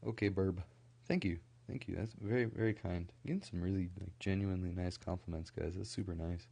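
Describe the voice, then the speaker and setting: A young man from Idaho, rambling casually on a late-night stream, full of "like" fillers, his voice a little scratchy from a recent cold and kept quieter than usual. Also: deep voice, a little fast, creaky, breathy edge. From Idaho, USA. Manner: rambling, many "like" fillers and restarts. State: slightly scratchy from recent illness.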